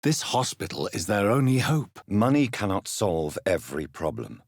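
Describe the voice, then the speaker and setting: A troubled gentleman doctor turned vampire in dramatic game dialogue. His voice is very low and husky, with an expressive, wide-moving melody. He speaks in a formal, measured, courteous way, grave and earnest, in a close, dry recording. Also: no room sound. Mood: composed, earnest. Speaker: video game protagonist.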